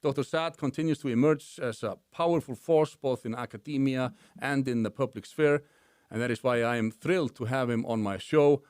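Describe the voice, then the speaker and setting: A man's voice: medium pitch, moderate pace, darkish tone, clean tone, no echo, studio quality. A man with a thick Icelandic accent hosting an interview, reading a formal introduction and asking questions with frequent hesitations. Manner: hesitant, many "uh" fillers. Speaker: podcast host.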